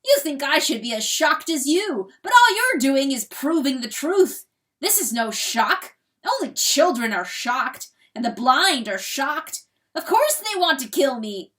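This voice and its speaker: A woman voicing a proud, cold male rooster who proclaims loudly and with contempt. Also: high voice, big pitch swings, measured pace, voice pushed up, full character voice. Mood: raging proud.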